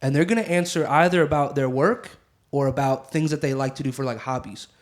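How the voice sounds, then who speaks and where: A man's voice: medium pitch, fairly quick. A man chatting casually as a podcast guest, handing out practical advice in a playful, wry manner.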